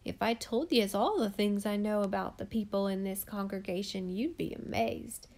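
A woman voicing a sharp-tongued, gossiping eccentric woman who mocks her neighbours in church loudly and with scorn. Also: mid-range voice, moderate pace, lightly acted. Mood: smug. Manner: loud, derisive, scornful.

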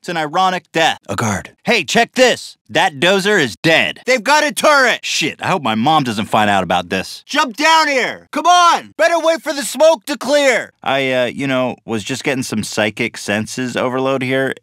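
Bright, lively man barking crude game lines. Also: fairly high pitch, normal speed, few pauses, no echo, studio quality. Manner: crude, jokey, profane barks. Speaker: game character voice actor. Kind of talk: recorded video game voice lines.

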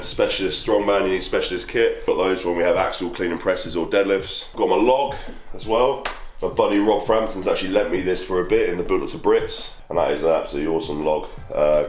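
A man, chatting casually as he walks through his home gym and points out the equipment. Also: low-pitched. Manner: chatty, matter-of-fact, walking around pointing at kit. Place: small wooden home gym barn. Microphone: handheld camera close up. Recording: echoey wooden room with faint music.

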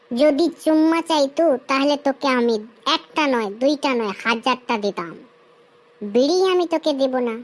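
High-pitched brash cartoon young woman, acted by a woman. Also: close to an everyday voice, low-quality recording. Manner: slangy, bickering, scolding. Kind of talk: Bengali comedy cartoon dialogue.

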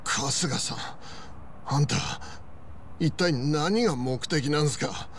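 An actor playing an earnest former yakuza underling in a video game, polite to seniors with a rough, wary streak. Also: male voice, on the high side, slow, slight background noise. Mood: suspicious, confrontational. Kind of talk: Japanese video game voice acting. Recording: music playing behind the voice. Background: background music.

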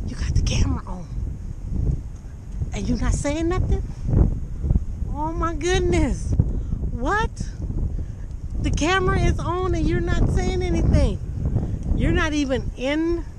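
Older woman biker over loud road noise. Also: extremely high pitch, animated, unhurried, pauses often. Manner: teasing, chatty. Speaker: motorcycle traveler. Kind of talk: casual travel vlog chat.

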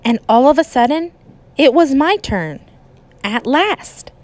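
Lady teacher doing a kids book read aloud, super expressive up and down voice, slow and emphatic. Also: sits high, no echo. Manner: expressive, emphatic, dramatic pauses. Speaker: teacher reading aloud to children. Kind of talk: animated children's storybook read-aloud.

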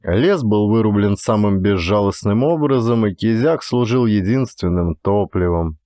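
A man's voice: on the low side, normal speed, dark tone, dry recording, clean studio sound. A man narrating a classic literary story as an actor, in a dramatic scripted style.

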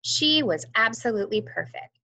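A young woman, a teacher, reads a children's storybook aloud expressively, with careful, deliberate emphasis. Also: on the high side, expressive, pushed and bright, poor audio. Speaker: kindergarten teacher reading aloud. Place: indoors, on a screen-share video.

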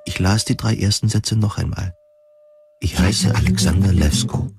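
A man's voice: low-pitched, normal speed, creaky, a little mellow, breathy edge. A man reading a scripted first-person narration of a dystopian science fiction story. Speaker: audio drama narrator. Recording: music playing behind the voice. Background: plucked guitar music.

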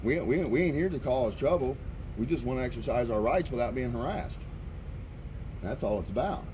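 A middle-aged man with a loud, blunt, profane way of talking, arguing with police outdoors into a handheld camera rig. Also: medium pitch, animated, moderate pace. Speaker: camera-carrying activist. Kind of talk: heated street argument. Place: outdoors on a town sidewalk by a street. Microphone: handheld camera rig on a gimbal. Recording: outdoor street noise.

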